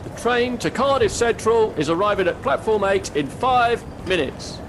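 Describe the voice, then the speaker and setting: A woman's voice: a little high, expressive, moderate pace, noisy background. A woman in an audio play voicing a formal railway platform announcer.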